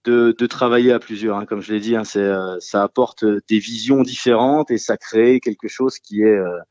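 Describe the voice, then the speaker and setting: A man's voice: on the low side, steady tone, moderate pace, darkish tone, dry recording, dull audio. A man who sings and plays guitar in a rock trio, answering radio interview questions in a casual, hesitant way with many fillers and restarts. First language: French.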